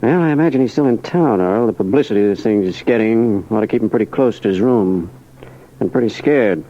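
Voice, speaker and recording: An actor playing a thoughtful police captain in a radio crime drama, matter-of-fact and authoritative. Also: male voice, lowish voice, animated, a little fast, mellow. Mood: considering. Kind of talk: 1940s radio drama acting. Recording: dull old radio recording.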